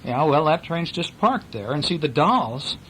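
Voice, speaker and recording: A man's voice: on the high side, very animated, measured pace, sharp tone, a little hiss or hum. A man reading a bedtime story to his young children in a casual, playful way, breaking off to ask questions and act out sound effects.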